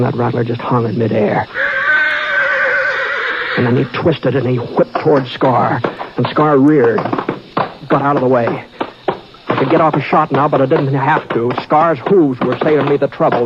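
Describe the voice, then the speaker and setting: An actor playing a calm frontier cowboy who also narrates the tale, talking in a measured, reassuring way. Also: male voice, mid-range voice, very noisy, natural voice. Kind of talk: 1950s radio drama acting. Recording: dull old radio transcription with music.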